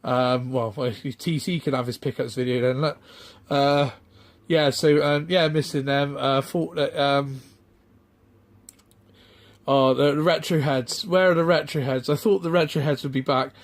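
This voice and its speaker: A middle-aged man, chatting off the cuff in a rambling, halting way full of ums and restarts. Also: medium pitch, fairly even intonation. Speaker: retro game collector vlogger. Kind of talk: casual off-the-cuff vlog chat.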